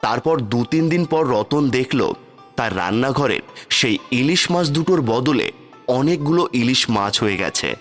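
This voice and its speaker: A man serves as narrator of a cartoon fairy tale. His voice is mid-pitched and follows a storytelling script. Music plays behind him. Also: animated, moderate pace, a bit bright.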